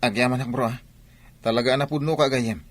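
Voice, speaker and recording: A man's voice: mid-range voice, fairly even intonation, fairly quick, natural voice. A man with a Filipino accent playing an earnest, worried young student in love in a radio drama. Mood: worried, friendly.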